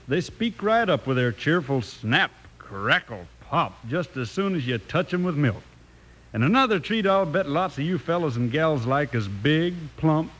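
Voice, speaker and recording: A folksy cowboy pitchman with a highish, very animated voice. He is chummy and enthusiastic, selling in old-time radio ad style. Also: rough recording. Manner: folksy, enthusiastic, drawn to young listeners. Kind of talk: 1950s radio commercial.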